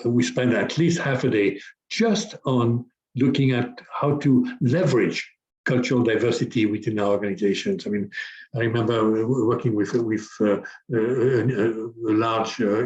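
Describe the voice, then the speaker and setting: A man's voice: mid-range voice, steady tone, normal speed, a little mellow. Hesitant man, echoey lo-fi call. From France. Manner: hesitant, many restarts and fillers. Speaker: guest, business coach. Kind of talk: conversational interview.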